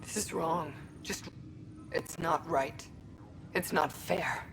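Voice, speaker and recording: An actress playing a distressed, aggrieved colonist in a video game, halting and repeating herself. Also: female voice, deep voice, noisy background. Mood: upset.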